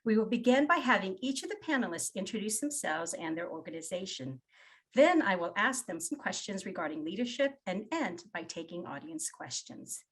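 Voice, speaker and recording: A woman's voice: mid-range voice, sing-song, moderate pace, fairly bright tone, breathy edge. A woman moderating an online panel, giving brief, courteous prompts to each speaker over a video call.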